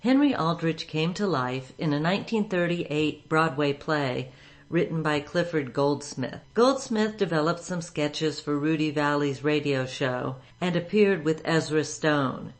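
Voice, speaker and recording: Woman, low voice, radio host, scripted narration, show introduction.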